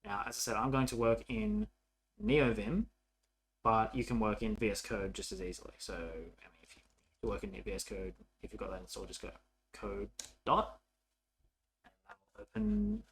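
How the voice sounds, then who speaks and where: A man's voice: on the low side, sing-song, normal speed, vocal fry, noisy background. A man narrating a casual coding tutorial as an instructor, informal and thinking aloud as he works.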